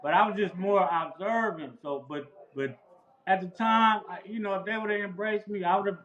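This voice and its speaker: A man from Los Angeles telling his life story in a relaxed, casual way, full of street slang and repeated "bro". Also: high-pitched, moderate pace, echoey room, lo-fi sound. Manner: laid-back, repetitive street slang with frequent "bro". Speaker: interview guest. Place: outdoors near a house.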